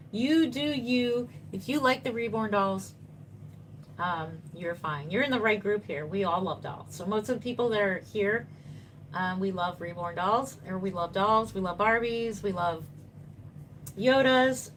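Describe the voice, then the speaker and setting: A woman's voice: medium pitch, unhurried, a bit bright, a little hiss or hum, rough recording. A woman in her fifties, a doll artist chatting casually on a live stream, reading viewer comments and answering them reassuringly while she paints. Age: 50s.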